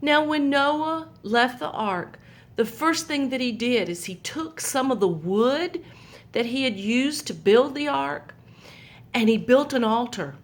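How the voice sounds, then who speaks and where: A woman telling a Bible story to young children, pointing out the pictures in a gentle, patient way. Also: sits high, animated, takes it slowly.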